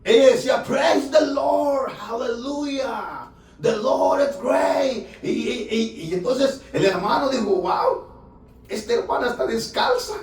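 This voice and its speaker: A man, a pastor, preaching a fervent sermon that rises to shouts, recorded in a church from off the mic. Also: very high voice, vocal fry, far from the mic. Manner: fervent preaching, rising to shouts, filler "hermano". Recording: echoey church room, voice from off-mic.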